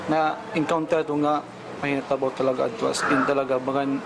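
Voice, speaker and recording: A man's voice: mid-range voice, flat delivery, a little fast, vocal fry. A young man with a Waray accent from the Philippines answering unscripted as an interviewed volunteer. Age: young adult. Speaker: youth volunteer being interviewed. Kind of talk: spontaneous interview. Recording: noisy outdoor interview, traffic behind.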